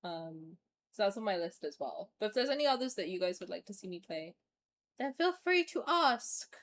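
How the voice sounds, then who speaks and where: Lively, chatty young woman streamer. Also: mid-range voice, normal speed, slight background noise. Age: 20s. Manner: animated, chatty, swears, reads game text aloud. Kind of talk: casual live-stream gameplay chat.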